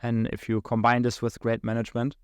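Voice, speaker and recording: A man's voice: medium pitch, monotone, clean tone, dry recording, clean studio sound. A young man from Germany with a German accent, a podcast guest talking through his career with many fillers and restarts. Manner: halting, many restarts and fillers, laughs between thoughts. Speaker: guest, account executive. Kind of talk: podcast interview.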